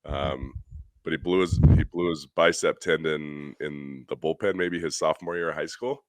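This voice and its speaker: A man's voice: very deep voice, normal speed, no room sound. A man in his forties co-hosting a podcast while under the weather, telling stories in a laid-back way. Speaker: co-host.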